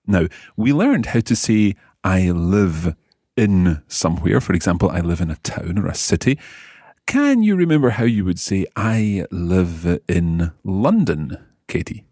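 A man hosting a spoken language lesson, prompting a learner and pausing for listeners in a slow, patient way. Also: low voice, very animated, mellow, dry recording, studio quality. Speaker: language course host.

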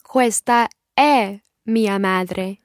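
A woman learning a language, answering prompts and repeating phrases very slowly and deliberately. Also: mid-range voice, no echo, studio quality.

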